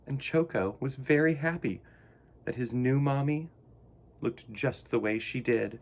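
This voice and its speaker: A man hosting a storytime for children, speaking to young viewers in an expressive, theatrical and earnest way. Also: medium pitch, measured pace, a little hiss or hum. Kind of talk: expressive read-aloud and talk to young viewers.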